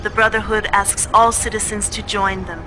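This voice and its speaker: A woman's voice: medium pitch, steady tone, moderate pace, background noise, close to an everyday voice. A woman playing a composed propaganda news anchor, reading a formal, solemn scripted bulletin.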